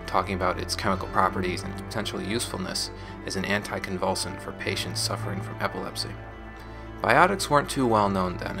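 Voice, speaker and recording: A low, measured voice from a man acting as a former gang member looking back. The delivery is reflective and explanatory, like a scripted sci-fi audio log, with music playing clearly underneath. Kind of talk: scripted in-character audio diary narration.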